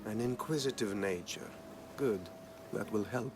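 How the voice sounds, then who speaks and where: An actor playing a calculating, sinister wealthy schemer in a video game, measured and condescending. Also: male voice, lowish voice, music in the background, lightly acted. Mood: calm, calculating. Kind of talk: dramatic video game acting.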